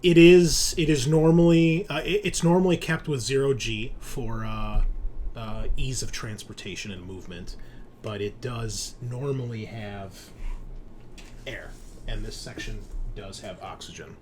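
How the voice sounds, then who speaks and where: A man acts as game master for a horror roleplaying game. He describes scenes at an unhurried pace in a mid-pitched, conversational voice that is free with profanity. There is slight noise behind him.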